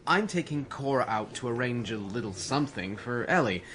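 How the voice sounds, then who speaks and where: Busy crowd, casual smooth talking guy actor, evasive, lively middle voice, radio drama. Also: mid-range voice, brisk, lightly acted. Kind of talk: audio drama dialogue.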